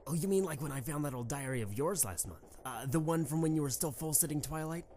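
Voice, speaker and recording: A woman's voice: low-pitched, fast, warm tone, lightly acted. A woman playing a young pony prince and fond husband, a protective worrier, conversational and earnest in an amateur audio drama. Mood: fond, teasing. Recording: slightly noisy amateur microphone recording.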